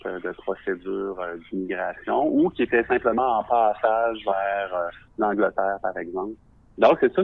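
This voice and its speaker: Low-voiced Québécois man on a phone-like line. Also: gravelly, no room sound. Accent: Québécois French. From Quebec. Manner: reflective, measured, searching for words. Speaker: visual artist, podcast guest. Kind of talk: personal storytelling for a podcast. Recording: narrow phone-like sound, dry.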